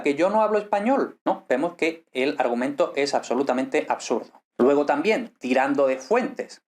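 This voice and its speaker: A man from Spain with a fairly high voice gives an explanatory commentary. He speaks with a peninsular Spanish accent and argues emphatically, posing rhetorical questions as he takes claims apart. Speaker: linguistics explainer, video host. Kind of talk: explanatory commentary debunking a book.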